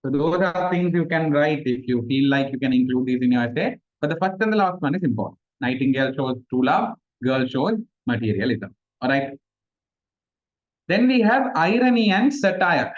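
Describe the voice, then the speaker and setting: A man's voice: fairly high pitch, expressive, normal speed, muffled recording. A man, a Tamil speaker, giving a casual online revision class, chatty and self-mocking. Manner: chatty, mixes in Sinhala, laughs at himself. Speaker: tutor. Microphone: video call.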